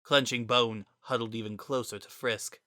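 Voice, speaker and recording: A man narrates scripted fiction in a bright, forward voice. The recording is close and dry, with the delivery of a dramatic story reading. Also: mid-range voice, steady tone, normal speed, no room sound.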